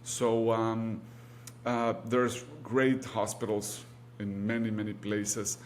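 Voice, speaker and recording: A man's voice: lowish voice, completely flat delivery, slow pace. Mexican-accented man with hum behind him. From Mexico City, Mexico. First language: Spanish. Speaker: guest, orthopedic surgeon. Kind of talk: conversational interview answers.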